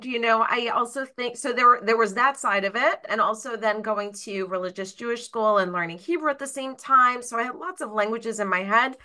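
A woman's voice: a little high, bright, forceful tone. A woman whose first language is French hosts a podcast interview in a chatty way, often trailing off with fillers. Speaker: podcast host. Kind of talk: conversational interview.

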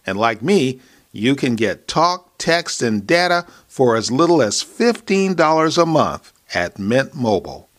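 A man's voice: mid-range voice, very animated, measured pace, fairly bright tone. A man reading a scripted personal endorsement as a podcast host.

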